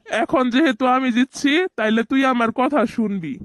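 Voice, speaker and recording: Man voicing a high-pitched bossy village boy. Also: measured pace, a bit bright, dry recording, studio quality. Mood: smug, teasing. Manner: colloquial, taunting, commanding. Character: bossy, teasing young village boy who wins a bet. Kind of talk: cartoon voice acting.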